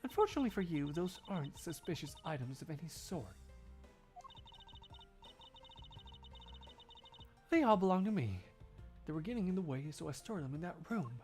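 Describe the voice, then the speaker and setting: A woman's voice: low-pitched, big pitch swings, quick pace, a little mellow. A woman playing a cool, defiant agent with a dismissive, controlled manner in a game fandub. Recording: music behind the voice.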